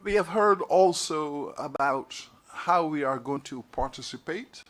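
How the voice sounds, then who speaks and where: A man's voice: on the high side, fairly bright tone. Man from Barbados giving a formal address. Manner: measured oratory with repeated phrases. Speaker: university leader giving an address. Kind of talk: formal speech at a council meeting. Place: meeting hall.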